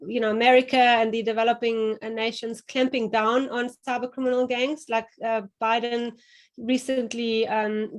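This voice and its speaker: A woman with a German accent, speaking as a panelist and thinking aloud with many fillers and restarts. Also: fairly high pitch. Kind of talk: panel discussion.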